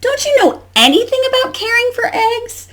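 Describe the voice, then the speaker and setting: A woman's voice: extremely high pitch, moderate pace, voice pushed up, full character voice. Woman reading as a huffy, scolding storybook duck. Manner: expressive, emphatic storybook read-aloud.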